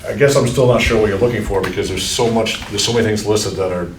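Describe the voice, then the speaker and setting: A man's voice: on the low side, steady tone, quick pace, a little hiss or hum. A man, the superintendent, thinking aloud at a school board meeting, hesitant and full of restarts. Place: meeting room. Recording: echoey room, distant mic.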